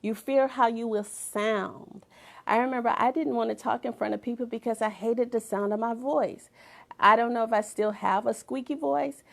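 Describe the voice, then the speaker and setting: A woman's voice: fairly high pitch, fairly even intonation, moderate pace, vocal fry. A middle-aged woman giving an emphatic inspirational talk, repeating phrases for effect and sometimes dropping to a whisper. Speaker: motivational speaker and author.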